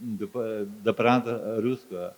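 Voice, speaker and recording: Halting low-voiced Eastern European man. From Ukraine. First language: Ukrainian. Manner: halting, fragmented phrasing with repeated words. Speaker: guest author. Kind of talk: onstage interview answers. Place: live festival stage. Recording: dull, muffled live recording.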